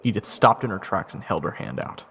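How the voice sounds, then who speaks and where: A man's voice: deep voice, big pitch swings, brisk, gravelly, fairly bright tone, a little hiss or hum, exaggerated voice. A man playing a wry soldier who narrates his own fantasy story in a matter-of-fact way. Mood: wry, watchful. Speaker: narrator of audio roleplay. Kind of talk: first-person scripted narration.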